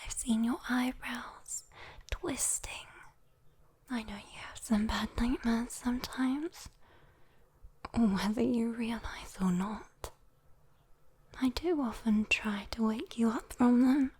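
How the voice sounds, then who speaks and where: A woman with a British accent voicing a sweet, teasing, possessive demon girl in a soft, intimate whispered ASMR roleplay. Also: fairly high pitch, breathy edge, heavily acted. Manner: slow, coaxing, intimate, playful. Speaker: ASMR voice performer.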